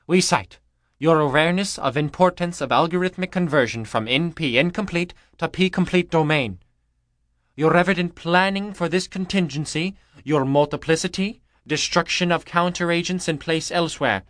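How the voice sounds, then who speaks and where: A man's voice: fairly high pitch, moderate pace, fairly bright tone, dry recording, studio quality, voice pushed up, lightly acted. A man reading an audiobook, voicing a burly male agent who serves a machine, grunting in a stilted way. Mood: gruff. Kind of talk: audiobook character voice.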